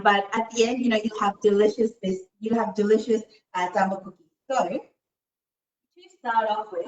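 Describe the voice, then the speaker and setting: A woman's voice: medium pitch, slight background noise. Woman cooking in an echoey kitchen. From Australia. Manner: explanatory, with frequent "um" fillers. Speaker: cooking show presenter. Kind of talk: casual cooking demonstration. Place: home kitchen. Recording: roomy, distant mic.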